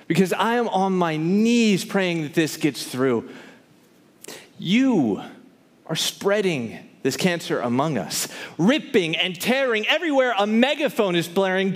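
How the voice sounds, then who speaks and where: A man performing theatrical spoken word poetry to a live audience, with an impassioned, emphatic, rhythmic rhyming delivery. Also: high-pitched. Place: large hall, live before an audience. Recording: reverberant hall, live stage performance.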